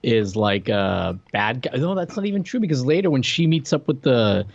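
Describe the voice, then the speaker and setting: Male American podcast co-host, informal conversational review style, medium pitch, slightly dull and very dry sound. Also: big pitch swings, moderate pace, gravelly, dry recording. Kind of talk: casual chat reviewing a TV show.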